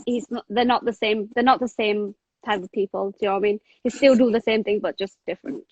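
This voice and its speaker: A woman's voice: medium pitch, fairly even intonation, quick pace, dry recording, muffled recording. A woman from Thailand now living in England, chatting casually on a video call with many fillers and the odd swear word. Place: home in England.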